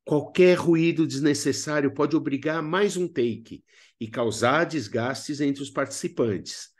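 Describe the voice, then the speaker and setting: A man's voice: fairly high pitch, a little slow. A man with a Brazilian accent narrating a video lesson as a workshop instructor, didactic with conversational asides to the learner. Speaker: instructor of a radio drama workshop.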